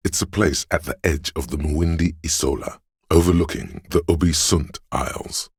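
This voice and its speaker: An erudite, all-knowing inner voice from a narrative video game. He is extremely deep and heavily husky, with a gravelly rumble. The delivery is measured and dryly sardonic, like scripted encyclopedia narration. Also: no echo, studio quality, heavily acted.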